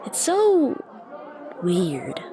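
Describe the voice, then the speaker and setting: A woman's voice: medium pitch, wildly swooping pitch, very slow, heavily acted. A woman playing a shy, dorky young stranger in an ASMR roleplay, talking to the listener in a hesitant, self-conscious, rambling way. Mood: musing, rambling. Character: shy, dorky young woman, a friendly coffee shop regular. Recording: busy cafe chatter behind the voice. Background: coffee shop crowd ambience.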